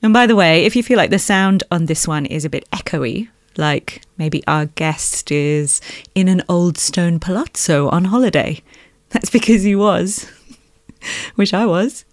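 A woman with an Australian accent hosting a podcast in a bright, chatty way. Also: fairly low pitch, measured pace, no echo, studio quality. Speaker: podcast host.

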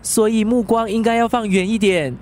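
High-voiced, dramatic young husband played by an actor. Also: male voice, steady tone, normal speed, a little mellow, close to an everyday voice. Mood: overjoyed, doting. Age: young adult. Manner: effusive, melodramatic. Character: devoted, excitable young husband, sheepish and dramatic. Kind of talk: radio drama acting.

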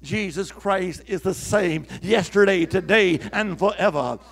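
A male preacher with a high, gravelly voice delivers a sermon in a church. He calls out questions for the congregation to answer and swells into emphatic, forceful lines. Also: unhurried. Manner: call-and-response preaching, rising to emphatic shouts.

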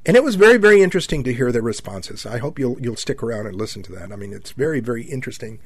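A man in his seventies hosting a conservative radio talk show, folksy and halting, full of fillers and repeated words and often calling his listeners "my friends". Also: mid-range voice, lively intonation, husky. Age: 70s.

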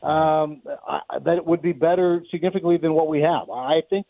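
Higher-voiced man on a phone line. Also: steady tone, normal speed, dry recording. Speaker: baseball radio play-by-play announcer giving an interview. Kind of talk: conversational sports analysis.

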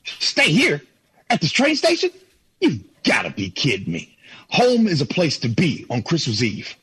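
A man's voice: on the high side, huge pitch swings, a little slow, a bit bright, husky, heavily acted. An actor playing a stubborn, exasperated 1950s family man in an old-time radio drama, full of folksy muttering and exclamations. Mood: incredulous.

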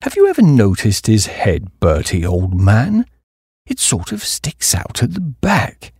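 A dim but chummy young gentleman, voiced by a man reading an audiobook. He talks with earnest wonder, in a slightly husky voice on a dry recording. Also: fairly low pitch, huge pitch swings, unhurried, no room sound, full character voice. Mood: awed.

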